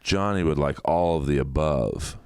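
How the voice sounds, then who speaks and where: A man's voice: very deep voice, normal speed, darkish tone, clear, resonant tone, no room sound, clean studio sound. A man on a comedy podcast, jokey and profane, trading crude banter and reading aloud with mock drama.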